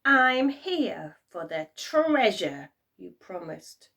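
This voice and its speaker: A woman's voice: mid-range voice, very animated, very slow, vocal fry, fairly bright tone, recorded from a distance, full character voice. A woman voicing a sly, taunting little magical man from a children's storybook, sneering and cackling with glee. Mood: sly, menacing.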